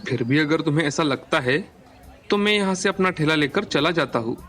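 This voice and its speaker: Kind, honest street vendor for a moral tale, played by a man; fairly high voice, polite, earnest delivery. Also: lively intonation, fairly quick, fairly bright tone. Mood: conciliatory. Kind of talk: dramatized Hindi moral storytelling.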